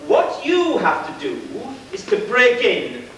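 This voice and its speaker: A man's voice: very high voice, huge pitch swings, a little slow, fairly bright tone, full character voice. A man playing a pompous, scheming villain boss in an amateur stage pantomime, with grandiloquent, theatrical delivery. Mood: condescending. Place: church pantomime stage, far camera. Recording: echoey hall from far off, faint noise.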